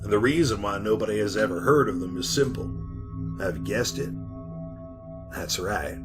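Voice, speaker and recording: Man acting a low-voiced, ominous haunted storyteller. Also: moderate pace, over loud music, close to an everyday voice. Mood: ominous, insistent. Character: sinister male survivor, haunted servant of an underground power. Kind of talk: retro 1940s radio drama monologue.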